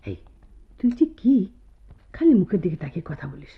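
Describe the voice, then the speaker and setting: An actress playing a blunt, bossy young female student gossiping in a Bengali radio drama, husky and breathy. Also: on the low side, big pitch swings, brisk, heavily acted. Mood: scolding, hushed. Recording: dull lo-fi radio drama audio.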